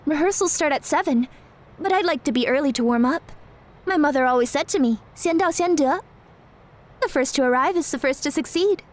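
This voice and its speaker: Woman acting sweet-polite young dancer girl, high voice, formal and earnest, audio drama. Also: fairly quick, lightly acted. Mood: earnest, respectful.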